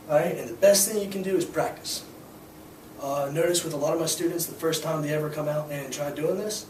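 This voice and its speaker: A hoarse young man teaching a scuba class in a loose, chatty way, full of "um"s and "all right?"s. His voice sits a little high, and it's picked up from a distance in an echoey room with steady background noise. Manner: casual, explanatory, frequent fillers. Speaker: scuba instructor. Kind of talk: hands-on demonstration to a class.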